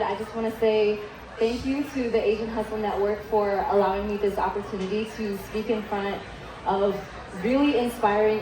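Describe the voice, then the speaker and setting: A young woman from Vietnam, whose first language is Vietnamese, giving a keynote speech and telling her story in a candid, casual way with many "likes" and "ums". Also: mid-range voice. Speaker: keynote speaker. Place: event hall. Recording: echoey event hall.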